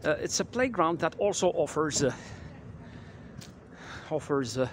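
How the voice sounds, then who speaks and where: A man in his thirties guiding a casual walking tour on a quiet residential street, talking with many fillers and restarts into a handheld camera mic. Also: a little high, lively intonation, unhurried. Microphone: handheld camera mic while walking. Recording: clear outdoor street noise.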